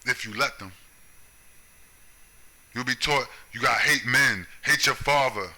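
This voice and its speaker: A man from the United States speaks in a hard, piercing tone at a middle pitch. He rants bluntly and profanely in a solo monologue, driving each point home with a repeated "right?" or "you see?". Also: measured pace, bright, forceful tone. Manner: confrontational, profane, keeps tagging lines with "right?" and "you see?". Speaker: video commentator.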